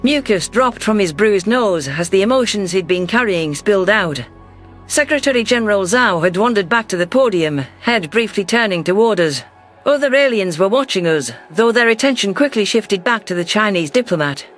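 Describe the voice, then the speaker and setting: A man reading scripted first-person science fiction narration in a measured, literary delivery. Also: high voice, lively intonation, a bit bright, faint music behind. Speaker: audiobook narrator.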